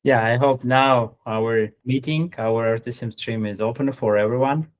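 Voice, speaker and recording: A man hosting an online meeting as an educational facilitator, speaking informally and haltingly in non-native English phrasing. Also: medium pitch, fairly even intonation. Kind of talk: hesitant, informal stream hosting.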